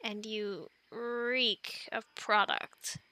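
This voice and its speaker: A woman's voice: a little high, steady tone, extremely slow, no echo, full character voice, rough recording. A woman playing a quirky, awkward young animal scientist stranded on an island, stammering and rambling in an ASMR audio roleplay. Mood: wary, explaining. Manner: stammering, rambling, flustered, urgent hushing in whispers.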